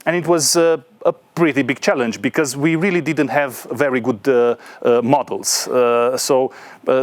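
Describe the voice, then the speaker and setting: A man in his thirties from Romania, with a Romanian accent, gives an informal talk in a hesitant way with many pauses and fillers. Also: medium pitch, dry recording. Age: 30s. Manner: hesitant, filler-heavy delivery with frequent pauses. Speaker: guest speaker, entrepreneur.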